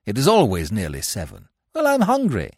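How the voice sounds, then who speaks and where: A man playing a fussy young gentleman who talks in an irritable, flustered way. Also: medium pitch, huge pitch swings, normal speed, gravelly, no room sound, clean studio sound, full character voice. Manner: peevish, flustered.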